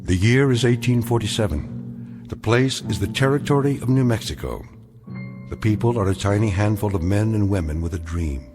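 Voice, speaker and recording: A man narrating an eerie radio drama in a measured, solemn, deliberate way. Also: on the low side, natural voice. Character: grave omniscient male storyteller of eerie tales. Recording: music behind the voice.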